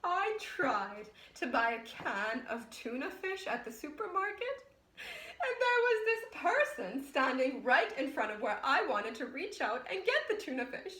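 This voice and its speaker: A woman playing a frazzled, unhinged woman in a volatile theatrical monologue, bitter about life and swinging between hushed confiding, sobbing and screaming. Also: high voice, sing-song, measured pace, exaggerated voice. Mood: fretful, indignant. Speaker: actress performing a one-woman monologue. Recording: roomy echo, distant microphone.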